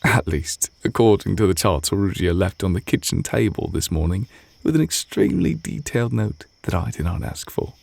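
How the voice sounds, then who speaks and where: Guy playing a gentle witch boyfriend for asmr, deep breathy voice with some fry, really soft and intimate. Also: lively intonation, moderate pace, dry recording, full character voice. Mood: loving, earnest. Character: tender, reserved male witch teacher confessing love to partner. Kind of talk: ASMR romantic audio roleplay. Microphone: close microphone.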